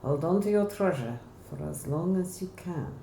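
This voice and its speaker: A woman's voice: lowish voice, animated, normal speed, mellow. A woman voicing a mature, caring Spanish singing teacher in a novel, advising earnestly and emphatically. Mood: encouraging. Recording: roomy echo, faint background noise.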